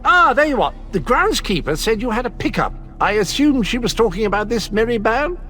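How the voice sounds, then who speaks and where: An actor playing a quirky, flippant would-be hero in a video game, chatty and a little pompous. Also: male voice, extremely high pitch, sing-song, fairly quick, sharp tone, exaggerated voice. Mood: breezy. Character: breezy, quirky male self-styled hero adventurer. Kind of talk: comic video game acting.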